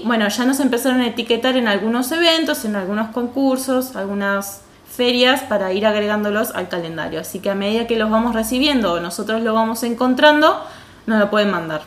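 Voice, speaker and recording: A woman's voice: on the high side, a bit bright. A woman with an Argentine accent chatting casually as a podcast co-host. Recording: roomy echo.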